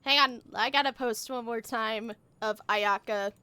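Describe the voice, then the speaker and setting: High, bright-voiced woman livestreamer. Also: unhurried, a little hiss or hum. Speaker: game livestreamer. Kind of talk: casual livestream chat while gaming.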